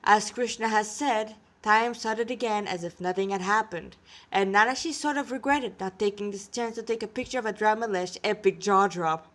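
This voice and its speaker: A woman's voice: medium pitch, moderate pace. A woman reading scripted fiction aloud as an amateur storyteller.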